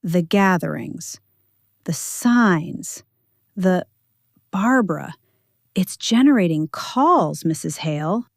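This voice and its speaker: A woman's voice: fairly low pitch, expressive, takes it slowly, creaky, long pauses, no echo, clean studio sound, full character voice. A woman voicing a weary, careful male deputy with a formal, restrained manner in an audio story.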